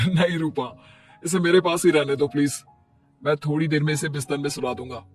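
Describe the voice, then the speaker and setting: A man's voice: fairly high pitch, steady tone, fairly quick, distant mic, soft background music, natural voice. A man voicing a devoted young father, an earnest and stubborn husband in a cartoon moral story. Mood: pleading, affectionate.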